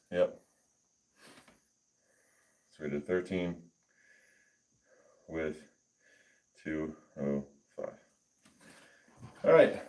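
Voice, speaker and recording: A man livestreaming his lifting session, chatting casually and haltingly with viewers and reading their comments aloud. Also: deep voice, a little mellow, a little hiss or hum. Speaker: fitness livestreamer. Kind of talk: casual livestream chat while working out.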